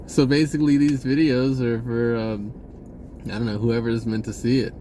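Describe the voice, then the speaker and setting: A man talking casually in a rambling spiritual monologue, halting and often interrupting himself, in an informal way. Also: medium pitch, flat delivery, warm tone, slight background noise. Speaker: spirituality vlogger.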